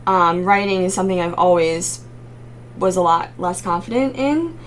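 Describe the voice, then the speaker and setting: A young woman with a raspy voice, a singer-songwriter guest chatting casually in an interview with frequent ums. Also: lowish voice, lively intonation, normal speed, a little hiss or hum. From Maryland, United States.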